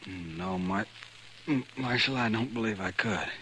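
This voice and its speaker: A man playing a stubborn, evasive, quiet-spoken American westerner in a 1950s radio drama. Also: lowish voice. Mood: guarded. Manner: evasive, measured. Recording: noisy vintage 1950s radio recording.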